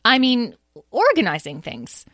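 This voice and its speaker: A woman's voice: fairly high pitch, wildly swooping pitch, unhurried, a bit bright, dry recording, voice pushed up, exaggerated voice. Young male dragon schemer woman performing, storybook. Awkward, eager, rambling and informal. Dry recording. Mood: persuasive, awkward.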